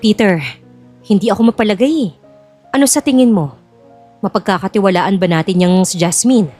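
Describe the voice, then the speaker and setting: A woman's voice: mid-range voice, normal speed, darkish tone, soft background music, lightly acted. Scheming middle-aged filipino auntie, done by a woman, sugary and insinuating, audio drama. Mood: uneasy, suspicious. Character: scheming, falsely sweet middle-aged sister-in-law.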